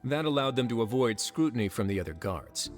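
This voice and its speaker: An actor playing a composed male investigator in a video game, laying out reasoning in a measured, assured way. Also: mid-range voice, lively intonation, natural voice. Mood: measured, analytical. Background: game music.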